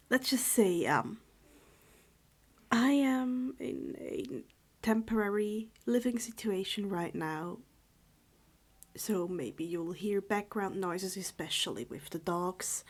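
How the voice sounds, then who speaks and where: A woman speaking in a hushed, subdued voice, halting and correcting herself as she chats and reads stories aloud. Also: medium pitch, husky. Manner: halting, stumbling over words and correcting herself. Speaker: storyteller reading fan fiction aloud for a channel. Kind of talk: informal chat, then read-aloud narration.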